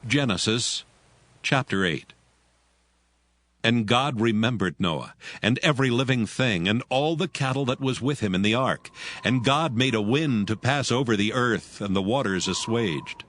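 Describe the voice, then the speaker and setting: A man narrating scripture from a script in a measured, solemn way. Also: medium pitch, expressive, a bit bright, dry recording. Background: music.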